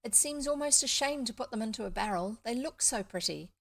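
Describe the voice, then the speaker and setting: Woman as an excitable young storybook girl, higher-pitched. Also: brisk, gravelly. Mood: wistful.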